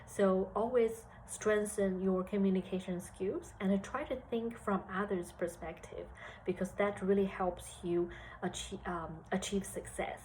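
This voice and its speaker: A woman in her thirties from China with a Chinese accent, giving career advice at a measured pace with frequent pauses and fillers. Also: mid-range voice, steady tone, a little mellow, a little hiss or hum, rough recording. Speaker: senior HR analyst. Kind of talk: career advice talk to students.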